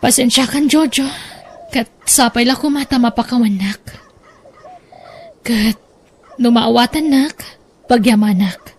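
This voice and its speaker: A woman's voice: on the high side, measured pace, pauses often, husky. A woman with an Ilocano accent playing a sorrowful, apologetic mother in a radio drama, emotional and pleading. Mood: pleading, remorseful. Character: sorrowful, apologetic mother confessing about her child.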